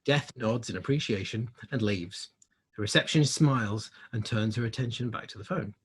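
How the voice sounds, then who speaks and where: A man with a husky voice narrating a screenplay in a dramatic read-through. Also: fairly low pitch, moderate pace. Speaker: script reader.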